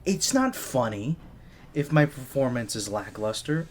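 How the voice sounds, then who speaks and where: A man's voice: medium pitch, very animated, a little slow, a little hiss or hum. A man playing a shy, easily flustered gadget maker for a secret agent in an audio roleplay, speaking nervously and stammering. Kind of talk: scripted ASMR roleplay, one side of a dialogue.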